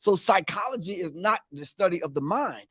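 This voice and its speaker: A man's voice: high-pitched, lively intonation, moderate pace, fairly bright tone, husky, no echo. A man who is a guest on a talk show, speaking emphatically with a preaching cadence. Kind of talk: casual conversation.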